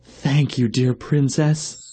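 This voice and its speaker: A man's voice: medium pitch, fairly even intonation, slow, dark tone, natural voice. A man playing a young, noble fairy-tale prince in a storybook, earnest and courtly. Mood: grateful. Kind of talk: storybook voice acting.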